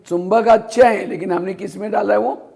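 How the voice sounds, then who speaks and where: High-pitched gravelly male spiritual teacher. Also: fairly even intonation, a little fast, darkish tone. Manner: storytelling with rhetorical questions, answers them himself. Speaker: spiritual teacher giving a class. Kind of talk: Hindi spiritual discourse.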